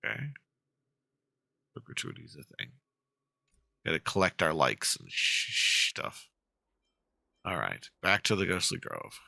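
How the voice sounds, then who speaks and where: Streaming man with a creaky voice. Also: low voice, sing-song, a little slow, long pauses, pushed and bright, dry recording, clean studio sound. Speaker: game streamer. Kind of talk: casual livestream chat and dramatic read-aloud of game dialogue.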